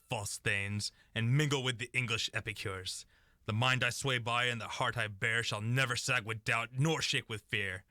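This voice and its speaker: A defiant warrior tyrant played by a man declaims in a Shakespearean radio drama. The voice is bright, hard and commanding at a middle pitch, scornful throughout, in a dry recording. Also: no room sound. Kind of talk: Shakespearean radio play acting.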